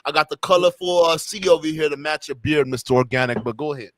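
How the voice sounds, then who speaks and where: Loud hype-man stream host. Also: fairly high pitch, fast talker, no echo. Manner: loud, playful, shouts catchphrases and laughs a lot. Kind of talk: hype-heavy live stream commentary.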